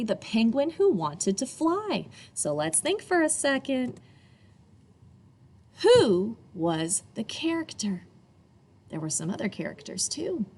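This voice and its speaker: A woman reading a picture book aloud to children expressively, in a teacherly way, pausing for young listeners. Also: on the low side, a little mellow.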